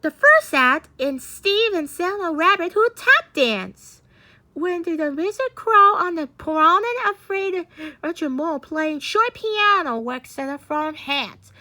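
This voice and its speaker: A woman reading a storybook aloud in a halting, stumbling way, often muddling her words. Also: very high voice, sharp tone.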